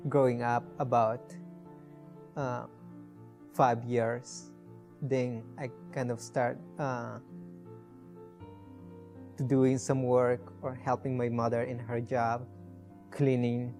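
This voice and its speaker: A young man with a Guatemalan accent, speaking a second language haltingly and simply as he tells his own story. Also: mid-range voice, level tone, darkish tone. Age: young adult. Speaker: scholarship honoree. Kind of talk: personal story in a documentary video. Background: guitar music.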